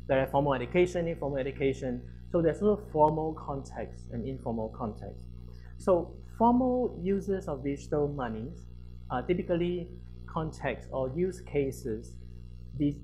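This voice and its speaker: A man in his thirties with a soft voice, a researcher explaining his study in a halting conference presentation in a lecture hall. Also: on the high side. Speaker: academic researcher presenting a paper.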